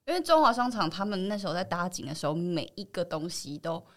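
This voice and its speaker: A woman's voice: on the low side, fast talker, a bit bright. A woman with a Taiwanese Mandarin accent, an actress guesting on a show and telling anecdotes in casual chat.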